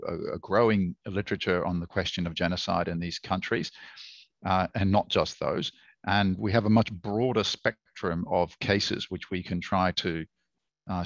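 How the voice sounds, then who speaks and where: A very low-voiced Australian man speaks in a thoughtful academic conversation, pausing on fillers and restarting phrases as he works through ideas. The recording is dry and slightly dull, like a lo-fi online call. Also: vocal fry, a bit bright, no room sound. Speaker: author and historian guest.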